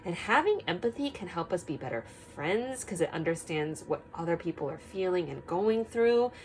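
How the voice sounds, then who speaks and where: A woman's voice: lowish voice, moderate pace. Woman teacher reading aloud, with noisy background. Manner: expressive, encouraging pupils with frequent questions and prompts. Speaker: teacher reading a picture book aloud to young children. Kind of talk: children's read-aloud lesson with singing and questions.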